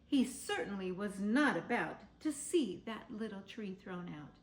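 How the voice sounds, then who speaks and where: A woman reading a children's story aloud in a theatrical, measured, expressive way. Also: medium pitch. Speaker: storybook reader. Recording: roomy, echoing sound.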